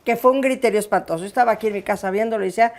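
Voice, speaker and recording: A woman with a Mexican accent hosting an interview, lively and prompting her guest. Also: medium pitch, rapid-fire. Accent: Mexican Spanish. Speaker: interview host. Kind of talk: casual interview chat.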